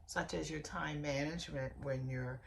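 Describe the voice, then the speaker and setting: A middle-aged woman hosting a motivational podcast lesson, hoarse from a summer cold, with a raspy, bassy voice and a chatty manner that speaks straight to her listeners. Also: steady tone, moderate pace, a little hiss or hum. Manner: warm, chatty, direct address to listeners. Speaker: podcast host, hairstylist and coach.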